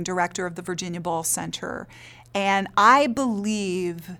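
A woman's voice: medium pitch, fairly bright tone. A woman theater director explaining her work in an earnest, reflective interview. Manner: earnest, explanatory, checks with "right?".

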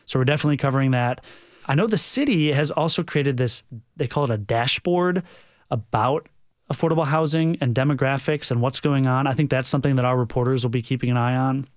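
A man's voice: medium pitch, fairly quick, no room sound, clean studio sound. A man speaking conversationally as a news director being interviewed on the radio.

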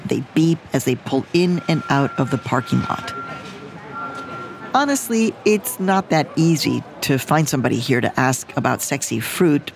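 A woman's voice: a bass voice, lively intonation, moderate pace, creaky, a crowd in the background. A woman hosting a radio program, narrating a playful story from a field trip in scripted voice-over. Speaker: radio host. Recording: narration with music underneath.

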